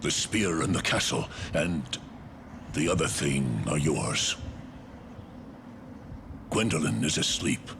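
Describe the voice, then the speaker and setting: A man's voice: rumbling low, lively intonation, slow, pauses often, noisy background, heavily acted. A man playing a stern, haughty older king in a fantasy game, imperious and formal. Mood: grudging resolve. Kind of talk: dramatic fantasy game acting.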